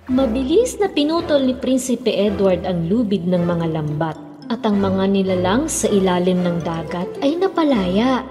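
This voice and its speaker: A woman's voice: mid-range voice, animated, a little slow. A woman narrating a children's fairy tale as a storyteller, in a scripted style. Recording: long reverb, music behind.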